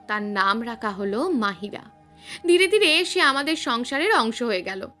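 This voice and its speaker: A woman's voice: sits high, fairly bright tone. A woman narrating a scripted suspense story in a dramatic, emphatic storytelling manner.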